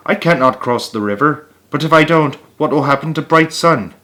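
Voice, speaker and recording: A man's voice: on the high side, normal speed, pushed and bright, voice pushed up. A determined young boy from a storybook, speaking earnestly with his voice lifted a little above a grown man's everyday tone, as a male reader plays him. It stays close to the reader's own voice.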